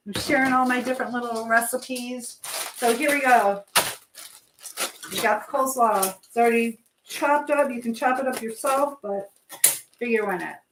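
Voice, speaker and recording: A woman hosting a casual live stream while she cooks, chatting informally to viewers and reading out their comments. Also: fairly high pitch. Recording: clear background noise and clicks.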